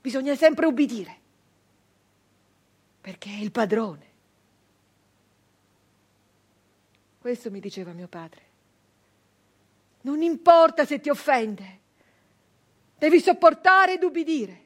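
An actress playing an anguished, defiant 19th-century Italian peasant brigand woman in a theatrical monologue, with emphatic repetitions and dramatic pauses. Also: high-pitched, expressive. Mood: bitter, indignant. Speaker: stage actress performing a monologue.

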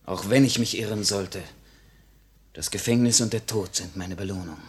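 An actor playing a proud, defiant imprisoned Russian revolutionary, earnest and curt, in 1950s radio drama style. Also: male voice, on the low side, moderate pace, natural voice. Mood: resolute.

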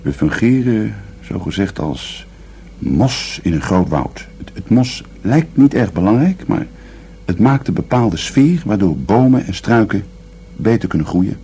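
A man's voice: on the low side, big pitch swings, a little slow, mellow, husky, exaggerated voice. An actor in a Dutch radio drama playing a dreamy, philosophizing man who explains things in a musing way.

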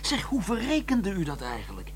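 Sarcastic police inspector, high voice, lively pitch, faint hum. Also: male voice, moderate pace. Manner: sarcastic, probing. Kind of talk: 1990s radio drama acting.

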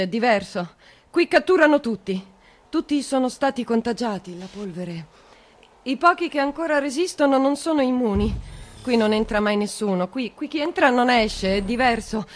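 Actress as an anguished Roman woman, high and volatile. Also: lightly acted. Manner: fragmented, repetitive, intense delivery. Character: anguished trapped woman, fevered, swinging between despair and outburst. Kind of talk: theatrical radio drama monologue.

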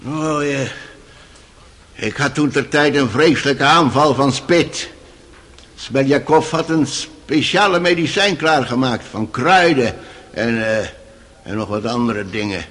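A man's voice: mid-range voice, measured pace, a bit bright, lightly acted. An actor playing an old male servant on the witness stand in a radio drama, hesitant and easily indignant. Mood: rambling. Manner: rambling, hesitant, indignant when challenged. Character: excitable old male servant testifying in court.

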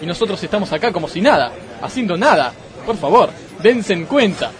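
A man plays a hapless Argentine football club president in a radio comedy. His voice sits high, formal and exasperated as he pleads, with crowd noise behind him. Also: sing-song, brisk. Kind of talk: comic radio sketch acting.

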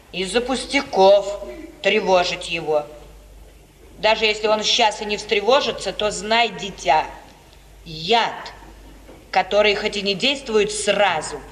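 A woman's voice: mid-range voice, natural voice. An actress playing a middle-aged, worldly mother who counsels her daughter with emphatic, persuasive, theatrical delivery in a 1950s radio tragedy. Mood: urging, cautioning. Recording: old hissy radio recording, slightly distant.

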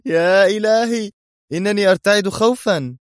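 A man's voice: on the high side, a little fast, no room sound, studio quality, voice pushed up, close to an everyday voice. A man voicing a cocky secret agent hero with a sarcastic, taunting manner in an audio story. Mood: mocking.

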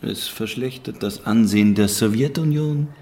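An actor playing a calculating Soviet party leader in a radio drama, speaking in a formal, measured, commanding manner. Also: male voice, fairly low pitch, darkish tone, natural voice. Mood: grave, insistent. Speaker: supporting character.

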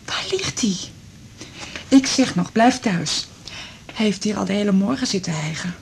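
An actress playing an anxious, bewildered middle-aged mother, fretful and halting, in a 1980s radio drama. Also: mid-range voice, expressive, a little mellow, heavily acted. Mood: alarmed. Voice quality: breathy, husky. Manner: fretful, repeating herself, halting.

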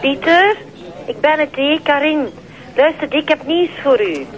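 Radio drama bit part: female police researcher, Flemish, high-pitched, chirpy, plain colloquial reporting. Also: lively intonation, unhurried, highs cut off. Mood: eager. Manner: colloquial, matter-of-fact.

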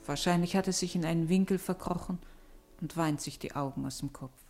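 Actress as a worried mother, lowish voice, anxious and sorrowful, music behind her. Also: a little fast, darkish tone, lightly acted. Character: Martin's worried, homesick-hearted mother. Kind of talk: 1950s radio acting. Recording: old radio recording with music behind.

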